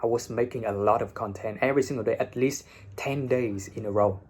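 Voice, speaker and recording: Young man, Vietnamese accent, mid-range pitch, slightly rough husky tone, casual online teacher, informal, sweary, phone recording. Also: a little fast, a little mellow. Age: young adult. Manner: blunt, informal, swears freely. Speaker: English teacher and video host. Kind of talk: casual advice talk to camera. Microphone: phone, no separate microphone.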